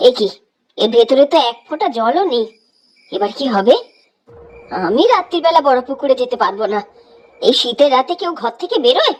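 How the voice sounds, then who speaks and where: A woman's voice: high-pitched, animated, normal speed, long pauses, slight background noise, low-quality recording. A woman playing a weary, complaining, superstitious village housewife in a horror cartoon, speaking plaintively in a rustic way over music. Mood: grumbling.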